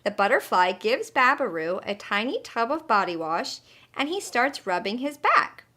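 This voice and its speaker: A woman storyteller reading to young children. Her voice is high and bright, with very lively intonation, and she addresses her listeners directly and expressively. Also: normal speed. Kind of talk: animated scripted story narration.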